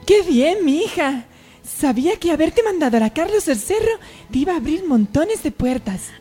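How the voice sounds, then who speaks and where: Mujer interpretando a una madre orgullosa de voz aguda. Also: female voice, high voice, very animated, moderate pace, warm tone, faint music behind. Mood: proud, folksy. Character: proud, folksy mother and cheery friend. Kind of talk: amateur radio soap opera acting.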